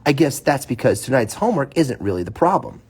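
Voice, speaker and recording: A man's voice: medium pitch, sing-song, fairly quick, darkish tone, slightly rough, full character voice. A man voicing a firm, lecturing father bear in a children's storybook. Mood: stern lecturing. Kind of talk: children's storybook read aloud.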